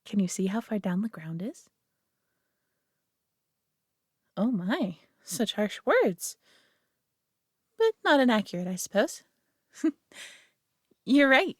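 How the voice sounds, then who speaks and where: A woman's voice: mid-range voice, sing-song, slow pace, long pauses, dry recording, studio quality. A woman playing a proud, possessive but caring superior alien being in a roleplay audio drama, speaking in a haughty, condescending yet tender manner. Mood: smug, teasing.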